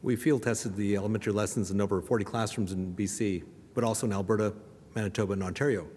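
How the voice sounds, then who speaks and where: A man presenting an education project, giving prepared remarks at an exhibit opening in a steady, measured way. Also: fairly low pitch, husky. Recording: long reverb, faint background noise.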